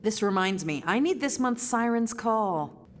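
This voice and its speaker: A woman's voice: a little high, lively intonation, a little slow, big-room sound. A woman playing a wry, practical treasure hunter in a video game, dry and clipped. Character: wry, laconic female vault hunter, coolly practical. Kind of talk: video game character dialogue.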